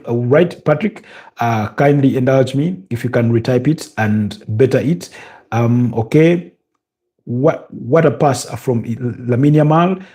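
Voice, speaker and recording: A man's voice: medium pitch, mellow. A man with a Ugandan accent hosting a live football watch-along, chatting casually and reading viewer comments with lots of filler sounds. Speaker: livestream host.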